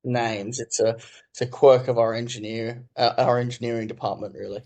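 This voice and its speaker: A male executive guest speaks in a steady, flat-toned voice at a middle pitch. He talks measured and reflective, hedging his points with "I think" as he explains. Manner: measured and thoughtful, many hedges like "I think". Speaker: company co-founder and CEO, podcast guest. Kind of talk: conversational interview answers.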